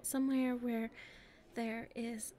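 A woman playing a nervous popular schoolgirl with a secret crush in an ASMR roleplay, softly breathy and halting. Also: on the high side, level tone. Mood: shy, earnest. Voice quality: soft and breathy, often near a whisper. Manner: hesitant, halting, shyly pleading.

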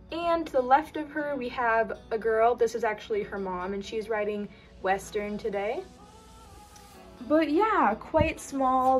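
Chatty young woman giving a toy tour. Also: on the high side. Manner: chatty, with frequent ums and trailing off. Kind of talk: casual tour narration. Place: bedroom with a toy stable on a dresser. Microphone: phone camera held by the speaker, off screen.

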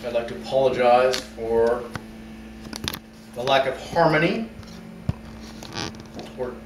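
A man in his thirties giving a mock-serious dramatic reading in a deliberate, theatrical manner with long pauses, in a living room. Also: medium pitch. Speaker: talent show performer. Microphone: far camera. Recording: distant camera, roomy echo, noisy background.

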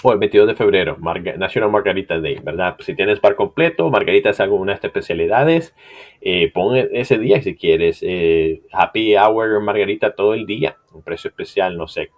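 A middle-aged man with a deep, low voice talks through an online tutorial from a home desk. His delivery is loose and conversational, full of fillers and self-corrections. It sounds like an unscripted screen-share lesson. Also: brisk. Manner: loose, chatty, many fillers and restarts. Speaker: marketing instructor.

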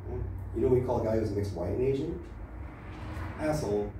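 Casting: middle-aged American male open-mic comic, lowish voice, casual patter, distant noisy club recording. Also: lively intonation, a little fast, warm tone. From Los Angeles, USA. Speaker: stand-up comedian. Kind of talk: stand-up comedy set. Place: small comedy club stage, indoors. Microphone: handheld mic, heard through distant camera. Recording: echoey, noisy club room from far off.

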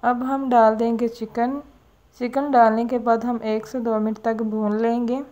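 A woman calmly narrating a home cooking recipe step by step as host of her cooking channel. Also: on the high side, unhurried.

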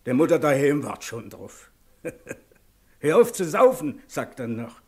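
A husky, gossipy rustic storyteller. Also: male voice, mid-range voice, big pitch swings, exaggerated voice. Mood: amused. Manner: folksy, sly, drawn-out storytelling. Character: gossipy, teasing brother-in-law, rustic storyteller. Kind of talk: 1960s German radio drama acting.

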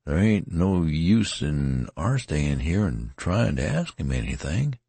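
A man reading a weary wounded soldier in an audiobook, with a rustic, rambling drawl and a creaky, husky voice. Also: a bass voice, big pitch swings, slow pace, dark tone, no echo, exaggerated voice.

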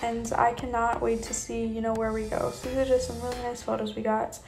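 A woman's voice: a little high, monotone, a little fast, gravelly. A young woman giving a chatty, informal vlog voiceover as a horse rider. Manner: chatty, informal, hedging with kinda and you know. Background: background music.

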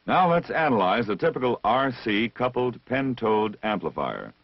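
A man reading scripted technical instruction as the narrator of a vintage military training film, measured and deliberate, on a dull old film soundtrack. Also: medium pitch, sing-song, husky, no room sound. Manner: measured, didactic, deliberate enunciation.

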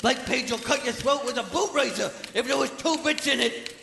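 A man playing a gruff, pugnacious old-school cornerman, urging in streetwise slang in a comic radio play. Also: very high voice, a little fast, bright, forceful tone, full character voice. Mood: gruff goading. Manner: streetwise slangy urging, tough-guy grammar. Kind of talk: comic radio theater acting. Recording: live theater stage, reverberant, faint noise.

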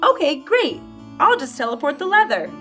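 Woman playing a lively, high-voiced fantasy blacksmith. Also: moderate pace. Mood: cheerful, proud. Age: young adult. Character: proud, encouraging woman blacksmith in medieval fantasy. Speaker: teacher acting with children. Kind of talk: readers' theater, reading from script. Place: indoor stage set with stone-wall backdrop. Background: music.

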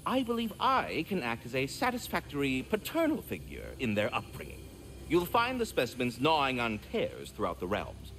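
A man's voice: medium pitch, wildly swooping pitch, moderate pace, a bit bright, slight background noise, rough recording. An actor voicing a courteous, wordy, fatherly giant squirrel with flowery formal speech in a video game. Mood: earnest. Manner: verbose, formal, flowery diction. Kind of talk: theatrical video game acting.

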